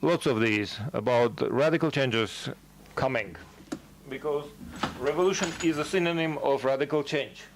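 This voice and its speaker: A man delivering a formal academic lecture as a scholar, speaking in measured, halting phrases. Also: mid-range voice, background noise.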